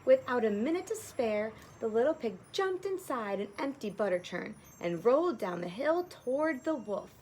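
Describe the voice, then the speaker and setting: High-voiced woman teacher reading a storybook. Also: very animated, moderate pace, few pauses, a little mellow, a little hiss or hum, poor audio. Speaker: teacher reading aloud to pupils. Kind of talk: expressive storybook narration with plain asides.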